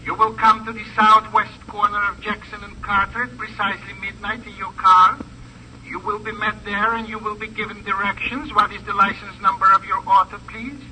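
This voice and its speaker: An actor playing a fussy, coolly polite gangster who speaks in formal, precise instructions in a 1950s radio drama. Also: male voice, sits high, level tone, moderate pace, sharp tone. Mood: coolly instructing. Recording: thin, distant, noisy old radio recording.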